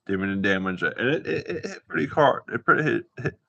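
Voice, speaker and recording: A man's voice: medium pitch, expressive, fast, vocal fry, bright, forceful tone. A man hosting a casual, unscripted livestream, talking in a hesitant, rambling and repetitive way. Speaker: live stream host. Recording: dull, roomy livestream audio.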